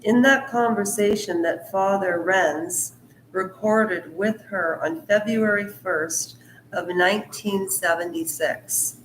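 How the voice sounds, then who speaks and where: A woman's voice: mid-range voice, roomy sound, slight background noise, rough recording. A woman hosting her own channel, reading a book aloud and stopping often for rambling asides of her own. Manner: slow, deliberate delivery. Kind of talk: book reading mixed with casual commentary.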